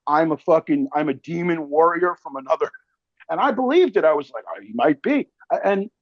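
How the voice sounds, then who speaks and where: A man with a slightly high voice chats casually as a guest, telling stories with animation and plenty of slang and swearing. The recording is dry and a little dull. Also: fairly quick, dry recording. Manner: animated storytelling, swears freely; slangy, calls listener man and brother. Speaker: interview guest, actor and filmmaker. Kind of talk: casual chat.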